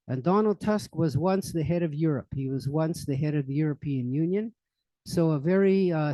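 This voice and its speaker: Older man, library talk, rambling, lots of fillers, slightly high voice, dry sound. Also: mellow, no echo, low-quality recording. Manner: rambling, many fillers and restarts. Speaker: lecturer on current events. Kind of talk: informal public library talk. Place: library meeting room.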